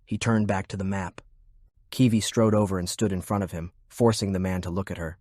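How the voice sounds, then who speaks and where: A man narrating a fiction audiobook in a measured, serious storytelling style. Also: sits low, steady tone, darkish tone.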